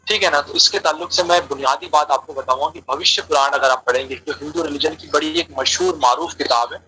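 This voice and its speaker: Guy doing a religious talk on a group call, sharp voice, repeats stuff for emphasis, some background noise. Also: on the high side, poor audio. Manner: emphatic, repeats phrases for stress; explanatory, translates key terms as he goes. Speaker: religious speaker answering questions. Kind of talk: religious lecture to an online group.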